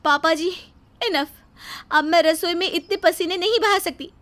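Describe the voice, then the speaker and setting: Woman playing an exasperated, high-voiced young daughter-in-law. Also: normal speed, pushed and bright. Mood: fed up. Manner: complaining, emphatic.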